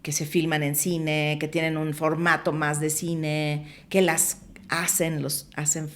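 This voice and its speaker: Animated middle-aged Mexican woman, lowish voice. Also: takes it slowly, slight background noise. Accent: Mexican Spanish. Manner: animated storyteller, many restarts and fillers. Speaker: film producer and director, podcast guest. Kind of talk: casual conversational interview.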